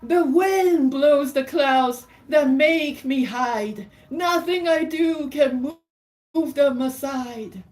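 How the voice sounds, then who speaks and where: A woman's voice: high voice, slow pace, darkish tone, pitched above the performer's own voice. A woman playing a humble, helpless sun in a rhyming picture book, speaking in a dramatic lament. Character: personified sun, apologetic, humble, powerless against clouds. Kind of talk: picture-book read-aloud in rhyme.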